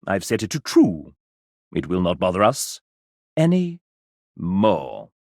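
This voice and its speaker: A man's voice: low-pitched, wildly swooping pitch, darkish tone, dry recording, clean studio sound, heavily acted. An actor playing a theatrical, fussy and sardonic man, a video game show host with an arch, dramatic manner. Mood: fussy, determined. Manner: arch, dramatic, drawn-out phrasing with big swoops.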